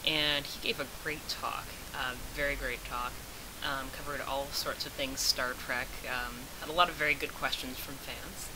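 A woman's voice: very deep voice, fairly even intonation, normal speed. A young woman giving a casual vlog recap, speaking as if to friends from a room at home. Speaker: vlogger recounting a fan convention. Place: small room at home, indoors. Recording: noisy home recording with hiss.

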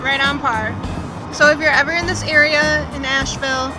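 A woman's voice: high-pitched, fairly even intonation, brisk, music in the background, poor audio. A young woman co-hosting a casual travel vlog, bubbly and laid-back, filmed on a handheld camera. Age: young adult. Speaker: travel vlogger. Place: outdoors on city streets and in a car. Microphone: camera held at arm's length.

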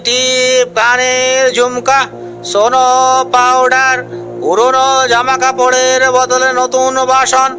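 A narrator playing a village peddler in an animated folk tale, calling out wares with drawn-out hawker cries. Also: sits high, soft background music, voice pushed up, full character voice. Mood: hawking. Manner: drawn-out street hawker calls.

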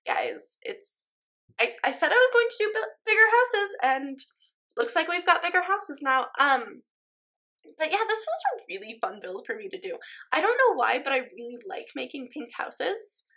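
A woman's voice: high-pitched, sing-song, moderate pace, bright, forceful tone. A young woman with a stuffy nose, chatting casually over her game footage in a rambling way, full of ums and likes. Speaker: Sims speed-build YouTuber.

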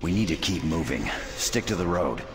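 Very deep-voiced stern squad commander. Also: male voice, expressive, normal speed. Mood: stern, commanding. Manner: terse, commanding. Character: stern male special forces squad commander. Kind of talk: video game military acting.

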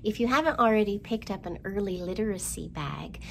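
Middle-aged female storytime host, very varied pitch, child-directed delivery, some clear background noise. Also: lively intonation, fairly quick. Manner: simple child-directed talk with questions and exaggerated delivery. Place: indoor room, seen at a storytime backdrop.

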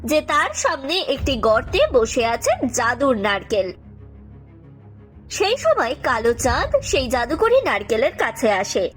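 A woman's voice: sits high, very animated, a little slow, fairly bright tone. A woman reading scripted narration for a cartoon story. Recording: music under the voice.

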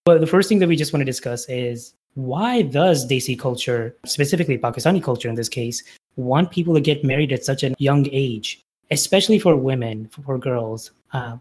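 A man in his late twenties hosting a casual podcast chat, speaking in a relaxed, measured way and asking short questions. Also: medium pitch. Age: 20s. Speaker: podcast host.

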